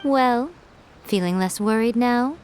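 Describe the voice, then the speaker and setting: A woman's voice: a little high, takes it slowly, background noise. A woman playing a poised, smug fox spirit in a video game, explaining things playfully and with amusement. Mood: poised, knowing. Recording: background music under the voice.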